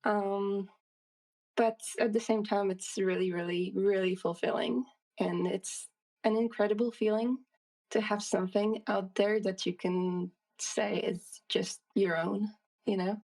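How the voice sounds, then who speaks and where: A woman's voice: mid-range voice, steady tone, unhurried, creaky, darkish tone, far from the mic. A woman, a solo game developer, answering interview questions in a casual, thoughtful way with many fillers and restarts.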